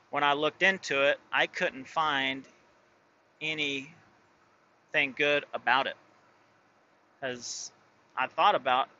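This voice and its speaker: A man's voice: mid-range voice, pushed and bright, lo-fi sound. A man who drives his own truck, talking casually and rambling, full of fillers and restarts. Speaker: truck driver and owner operator. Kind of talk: casual vlog talk.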